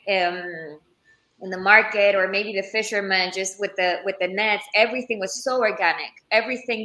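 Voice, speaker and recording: Bright-voiced woman, thoughtful and searching. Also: mid-range voice, roomy sound, low-quality recording. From Ecuador. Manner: thoughtful, searching for words, many restarts. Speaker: leather craftswoman and entrepreneur. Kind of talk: interview answers. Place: Florence, Italy.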